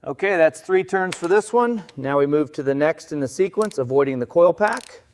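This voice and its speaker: A man's voice: fairly high pitch, lively intonation, normal speed, slight background noise. A man giving step-by-step workshop commentary as the narrator of a restoration. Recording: music behind the voice.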